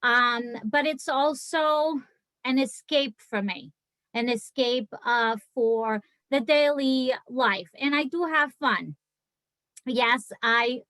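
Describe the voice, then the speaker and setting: Portuguese-accented woman, 40s, unhurried, dry home-room video call. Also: high-pitched, sharp tone, no echo. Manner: chatty, laughs often, many fillers. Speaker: guest speaker on a team video call. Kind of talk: casual personal story.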